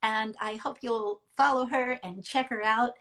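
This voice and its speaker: A woman's voice: fairly high pitch, steady tone, a little slow, fairly bright tone. A woman hosting a live online interview in a conversational style, speaking as a storyteller and speaking coach.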